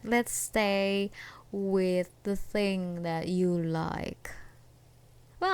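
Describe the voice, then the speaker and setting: Woman acting as unhinged stalker ex-girlfriend, ASMR roleplay, possessive, taunting, giggly, sweetly threatening, slow delivery, creaky voice. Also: medium pitch. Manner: giggly, sweetly menacing, drawn-out words. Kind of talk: ASMR roleplay acting, one side of a conversation.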